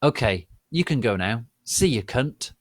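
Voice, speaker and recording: A man voicing a young female gothic witch teacher, brisk and foul-mouthed, in a dramatic story reading. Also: medium pitch, lively intonation, no echo.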